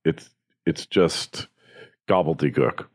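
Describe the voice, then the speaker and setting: A man's voice: extremely low pitch, slow pace. An American man delivering opinion commentary as a podcast host, in an emphatic, opinionated way. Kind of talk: opinion commentary, partly scripted monologue.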